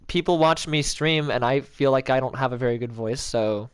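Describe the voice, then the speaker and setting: A young man with a mid-pitched voice who chats in a rambling, jokey way, full of likes and restarts. His sound is close and dry, with no room around it. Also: moderate pace, smooth, no echo. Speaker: streamer. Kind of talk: casual chat.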